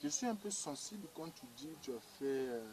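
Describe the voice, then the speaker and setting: Congolese male interviewer, slightly high-pitched voice, conversational delivery, clearly audible crowd behind. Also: very animated, fairly quick, low-quality recording. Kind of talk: conversational interview with some Lingala mixed in. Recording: busy chatter behind the voice.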